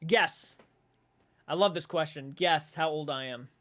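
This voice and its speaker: Man, twenties, livestream host, casual chat, animated, swears, bright tone, slightly high. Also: unhurried. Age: 20s. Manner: animated, swearing, laughing off comments. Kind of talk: casual livestream chat reading viewer comments. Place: dark room at home.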